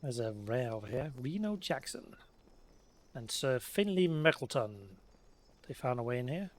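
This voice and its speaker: A man giving casual, unhurried let's play commentary, musing to himself as he plays. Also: mid-range voice, expressive. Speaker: let's play video creator.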